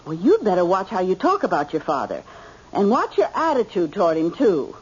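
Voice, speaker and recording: A woman's voice: fairly low pitch, huge pitch swings, a little fast, breathy edge, heavily acted. An actress playing a middle-aged American mother, exasperated, chiding and placating, in an old-time radio drama. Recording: tinny, phone-like old radio recording.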